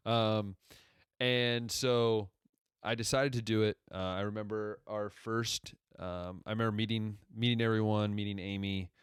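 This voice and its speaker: A young man from Ohio in his twenties with a deep radio-style voice, hosting a casual solo podcast and rambling conversationally as he backtracks through his story. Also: little pitch movement, unhurried, clear, resonant tone, no echo, studio quality. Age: 20s. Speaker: podcast host.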